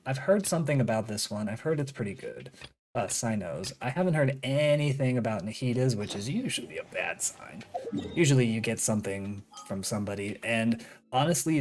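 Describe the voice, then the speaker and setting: A man's voice: mid-range voice, darkish tone, a little hiss or hum. A man chatting casually and in a rambling way as he streams his gameplay. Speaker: game streamer. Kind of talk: casual livestream gaming commentary. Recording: game music behind the voice. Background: video game music.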